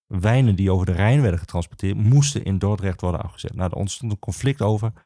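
A man the explains history informally as an expert guest, halting and repeating himself as he searches for words. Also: sits low, mellow, no room sound, studio quality. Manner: hesitant, halting, with many pauses and repetitions. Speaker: archivist, podcast guest.

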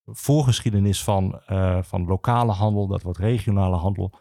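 Male podcast guest, archivist explaining history; hesitant delivery, mellow tone, dry close sound. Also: sits low, creaky, no echo. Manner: halting, hesitant, many filled pauses.

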